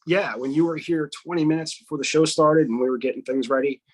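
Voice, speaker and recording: A man's voice: on the high side, fast talker. A man hosting a podcast, joking, swearing and rambling in a loose, casual way. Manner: profane, rambling, jokey. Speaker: podcast host.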